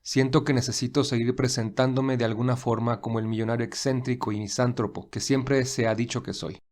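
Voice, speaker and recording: A man playing a reserved young millionaire in an audio story, speaking in a measured, earnest, formal way. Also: medium pitch, flat delivery, darkish tone, close to an everyday voice. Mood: guarded. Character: William, reserved young millionaire, weary and principled.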